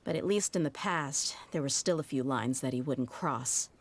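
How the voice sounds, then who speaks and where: Brief: a candid, deep-voiced female sellsword who speaks plainly. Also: normal speed. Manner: frank, wry, matter-of-fact. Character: tough young female mercenary, blunt and candid. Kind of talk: video game cutscene dialogue.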